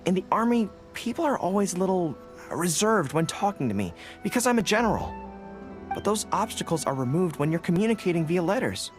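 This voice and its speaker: A man's voice: high-pitched, very animated, a little fast. A man playing a shy, dutiful young army general in a video game, speaking in an earnest, boyish, sometimes hesitant way. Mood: earnest, pleased. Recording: game music behind the voice.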